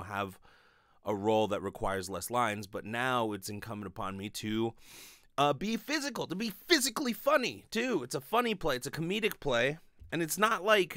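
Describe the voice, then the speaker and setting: A man's voice: medium pitch, fairly bright tone, no room sound. A man in his thirties giving a casual, rambling, sweary monologue with lots of hesitation, speaking into a close studio microphone. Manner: informal, sweary, hesitant with frequent filler "like". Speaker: podcast host, actor and acting teacher. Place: small bedroom, indoors. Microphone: close studio microphone on a stand.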